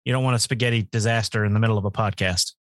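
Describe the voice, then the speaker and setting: A man's voice: lowish voice, fairly even intonation, racing, dry recording, studio quality. A man hosting a podcast in casual chat, joking lightly at his own expense. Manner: self-deprecating, light joking. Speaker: podcast host.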